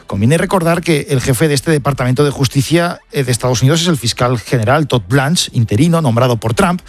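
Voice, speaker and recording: A man's voice: mid-range voice, a little fast, no echo. A man with an accent from Spain presenting a scripted press review on the radio, with conversational asides to a colleague. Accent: Spanish, from Spain.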